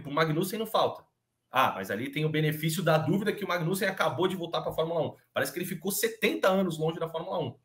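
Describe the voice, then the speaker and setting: A young Brazilian man talks quickly and animatedly on a video call podcast, his voice set a little high for a man. He argues his points with strong emphasis, repeating himself to drive them home. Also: a bit bright. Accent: Brazilian Portuguese. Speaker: podcast panelist. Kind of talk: casual chat about motor racing.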